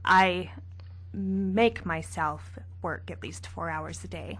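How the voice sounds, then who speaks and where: A young woman author answering questions in a radio interview, soft-spoken with a gentle, light manner. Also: on the low side, steady tone, slow pace, a little hiss or hum. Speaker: author guest.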